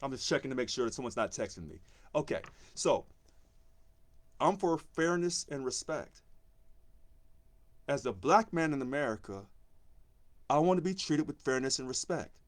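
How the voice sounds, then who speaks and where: Deep-voiced man giving an emphatic campaign pitch. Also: animated, moderate pace, long pauses. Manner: emphatic, repeats key phrases for stress. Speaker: union presidential candidate. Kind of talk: campaign speech on a live stream.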